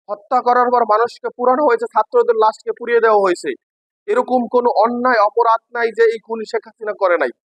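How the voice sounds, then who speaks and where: A man's voice: extremely high pitch, fairly bright tone, no room sound, low-quality recording. A man from Bangladesh with a Bangladeshi accent making an impassioned, emphatic political statement as an expatriate. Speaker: expatriate giving his opinion.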